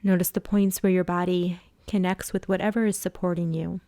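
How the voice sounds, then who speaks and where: A woman guides a body scan meditation in a lowish, very mellow voice. She speaks in a hushed, soothing manner and leaves long pauses between gentle instructions. The sound is dry, with no room echo. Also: flat delivery. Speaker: yoga and meditation teacher.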